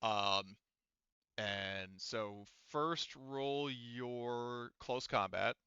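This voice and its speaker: A man acting as game master in a casual tabletop game, haltingly thinking aloud as he explains rules, on a close, slightly dull microphone. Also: mid-range voice, bright, forceful tone, smooth, dry recording.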